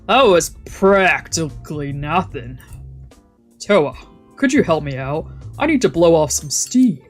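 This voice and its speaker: A woman voicing a gruff, brash young gangster man from a visual novel, curt and swaggering. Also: low-pitched, expressive, measured pace, soft background music. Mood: irritated, cocky.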